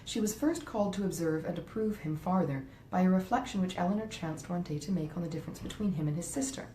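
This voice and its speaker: A woman's voice: fast, mellow. A woman reading a classic novel aloud as scripted literary narration. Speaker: audiobook reader, author reading a classic novel. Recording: roomy echo, faint rumbling noise.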